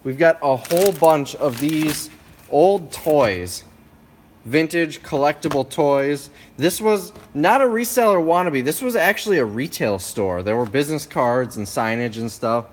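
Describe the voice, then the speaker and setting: A man filming on his phone indoors, casually listing items from a storage unit in a quick, offhand way. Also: on the high side, expressive, a little hiss or hum. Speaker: reseller showing a storage unit haul. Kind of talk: casual walkthrough narration. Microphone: handheld phone camera.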